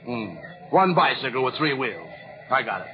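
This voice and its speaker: An actor playing a jolly, flustered store Santa who hesitates and repeats himself, in 1940s radio style on a muffled old recording. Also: male voice, medium pitch, big pitch swings, normal speed, a crowd in the background. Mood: puzzled.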